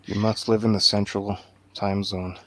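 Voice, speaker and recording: Gravelly, low-voiced man, dry and teasing. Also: flat delivery, moderate pace, a crowd in the background. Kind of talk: brief casual interjections in group chat.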